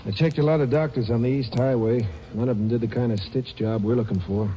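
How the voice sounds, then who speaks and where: An actor playing an eager ranger partner in a vintage radio drama. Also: male voice, mid-range voice, steady tone, fast, dark tone, soft background music, lightly acted. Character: sidekick lawman, eager and helpful. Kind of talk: 1950s radio drama acting. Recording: dull old radio recording with hiss.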